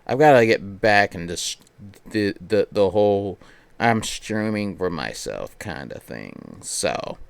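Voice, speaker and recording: A man streams games in a smooth, clean voice with a dry, close sound. He talks at an unhurried pace, rambling casually as he thinks aloud and circles back over his own phrases. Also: lowish voice, big pitch swings, no echo. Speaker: game streamer. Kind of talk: casual livestream chat while setting up a game.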